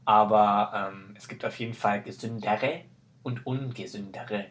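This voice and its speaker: A man's voice: on the low side, steady tone, a little slow. A man hosting a podcast in casual chat, talking in an informal, slangy, bantering way. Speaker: podcast host.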